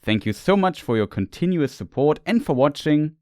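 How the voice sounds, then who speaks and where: A man's voice: fairly high pitch, very animated, normal speed, darkish tone, dry recording, clean studio sound. Man explaining fantasy lore. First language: German. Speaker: lore video creator. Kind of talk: scripted lore narration.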